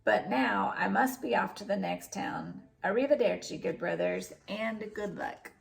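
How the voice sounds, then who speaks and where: Woman reading as an eager, lively juggling clown. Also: mid-range voice, measured pace, vocal fry, slight background noise, low-quality recording. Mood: friendly. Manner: earnest, cheerful. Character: eager, good-natured young male juggling clown.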